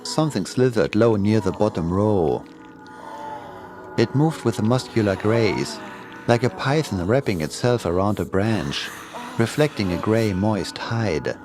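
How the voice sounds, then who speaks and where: A man's voice: fairly low pitch, lively intonation, measured pace, music in the background. A man reading a scripted horror story as its narrator.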